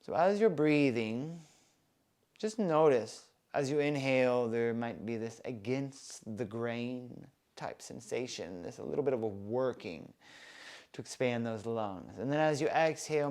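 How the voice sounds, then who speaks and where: A man softly leading a guided breathing meditation, with calm, soothing instructions. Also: mid-range voice. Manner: slow, soft, calm and soothing guidance.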